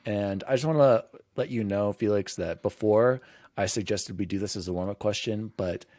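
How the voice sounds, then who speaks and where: A man hosting a podcast, chatting casually in an animated, emphatic way. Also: on the low side, moderate pace, no room sound, studio quality. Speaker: podcast host. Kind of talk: casual chat.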